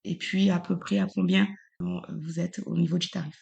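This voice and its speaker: Gravelly-voiced woman podcast host. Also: lowish voice, flat delivery, normal speed, a little mellow. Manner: hesitant, asks with fillers. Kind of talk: interview questions.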